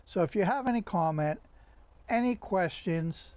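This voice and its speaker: Higher-voiced man in casual how-to narration. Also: animated, darkish tone, no echo. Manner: halting, conversational, unscripted. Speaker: hobbyist radio restorer and presenter.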